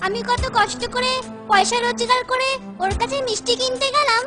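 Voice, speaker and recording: A woman's voice: very high-pitched, fairly even intonation, a little fast. A woman voicing an insistent, pleading little village boy in a comic cartoon. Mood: aggrieved. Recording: faint music behind the voice.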